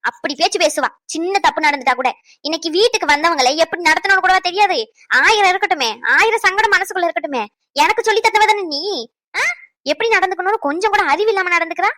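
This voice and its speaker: A woman's voice: extremely high pitch, fast talker, pushed and bright, no room sound, studio quality. A woman voicing a middle-aged mother who nags and lectures sharply in a family skit. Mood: scolding.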